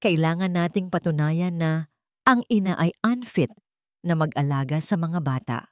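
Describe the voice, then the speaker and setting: A woman voicing a cautious, deferential veteran lawyer in a story, giving measured advice. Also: deep voice, a little mellow, dry recording, clean studio sound, lightly acted. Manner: measured, advising.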